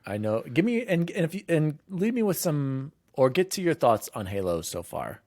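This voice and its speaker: A man hosting a casual gaming podcast, talking in an informal, animated, rambling way. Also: fairly high pitch, normal speed. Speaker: podcast host.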